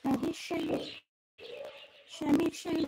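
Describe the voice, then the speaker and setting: A woman's voice: very high voice, steady tone, unhurried. A woman hosting a casual devotional livestream, repeating greetings and questions to her viewers. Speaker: livestream host. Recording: clearly noisy livestream audio with clicks.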